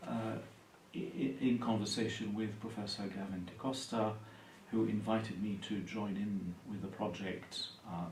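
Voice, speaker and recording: A man from Palestine speaks in a low, gravelly voice, measured and thoughtful, often pausing on fillers. He is recorded in an echoey room from some distance, with faint hiss underneath. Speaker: university lecturer and Anglican priest. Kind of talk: interview answers.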